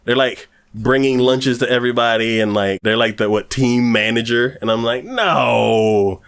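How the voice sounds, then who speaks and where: A man's voice: mid-range voice, normal speed, fairly bright tone, clean tone. A man co-hosting an anime podcast, chatting casually and rambling with lots of fillers. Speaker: podcast co-host.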